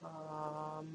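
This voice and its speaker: A woman's voice: low voice, slight background noise. A woman playing a visual novel, reading the dialogue aloud in character and reacting as she goes. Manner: acts out characters' lines while reading. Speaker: gaming video host. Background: loud game music.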